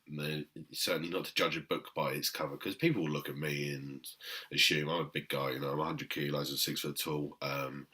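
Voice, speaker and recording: A man from Britain speaks in a very deep, low voice with a forceful, bright edge. He lectures fellow trainers emphatically, pausing on "um" and checking in with "okay?". It sounds like an unscripted talk to camera. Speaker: personal trainer.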